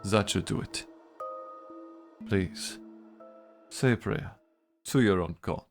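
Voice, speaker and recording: A man acts out a grave man of foggy Victorian London. His voice sits very low, and he speaks in a measured, guarded, fatalistic way. It is radio drama, with music playing behind him. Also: gravelly, exaggerated voice. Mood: somber.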